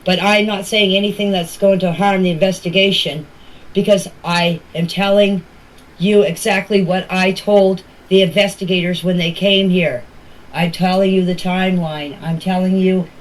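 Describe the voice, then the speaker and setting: An older woman speaking earnestly and emphatically in informal voice messages, often repeating herself for emphasis. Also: fairly low pitch. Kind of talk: informal voice messages to a group chat. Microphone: phone voice recording. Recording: noisy voice message clips.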